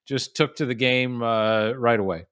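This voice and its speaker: Man, 40s, from the US, relaxed rambling guest storyteller, mid pitch, dry close mic. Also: unhurried, smooth, no echo. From United States. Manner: chatty storyteller with frequent restarts and fillers. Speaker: guest, golf professional. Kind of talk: casual podcast interview.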